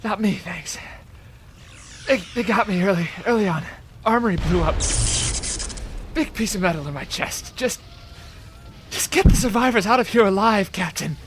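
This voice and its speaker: Guy doing a dying colony mayor in a sci-fi audio drama, high desperate voice, gasping, noisy transmission. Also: animated. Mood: dying, pleading. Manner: halting, gasping, urgent delivery. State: in pain, struggling to speak. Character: mortally wounded colony mayor, desperate and dutiful. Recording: clearly noisy distress transmission.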